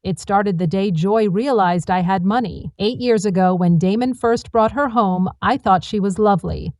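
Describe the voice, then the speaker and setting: A woman narrates a scripted family drama in the first person. Her voice sits at a middle pitch for a woman, and the recording is very dry, with no room around it. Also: normal speed, a little mellow, no echo.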